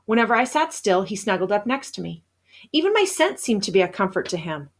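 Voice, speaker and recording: Female read-aloud narrator for a children's novel; mid-pitched, expressive storyteller delivering scripted first-person prose. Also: fairly quick. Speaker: storybook reader.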